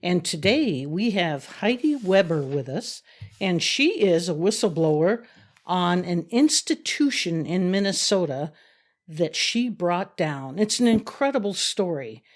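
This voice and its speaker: A woman's voice: lowish voice, animated, unhurried. A woman hosts a podcast interview in a studio, friendly and encouraging as she asks her guest questions. She is from South Dakota. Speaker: podcast host.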